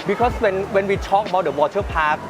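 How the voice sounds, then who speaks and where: High-pitched male interviewer, music behind. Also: fairly quick, poor audio. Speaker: interviewer, show host. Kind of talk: casual on-location interview. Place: outdoor water park. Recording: clear music behind, outdoors.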